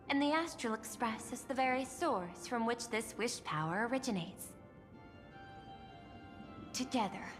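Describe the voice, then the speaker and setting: An actress voicing a poised, persuasive corporate executive in a video game, speaking in a polished, formal and measured way. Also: female voice, a little high, in a large hall, natural voice.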